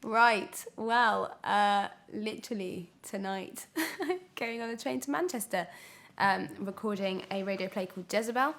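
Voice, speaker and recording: Young female actress as podcast guest, gravelly creak, reflective and halting, conversational delivery. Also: mid-range voice, fairly bright tone. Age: young adult. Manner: hesitant, reflective, thinking aloud. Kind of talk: candid casual interview conversation.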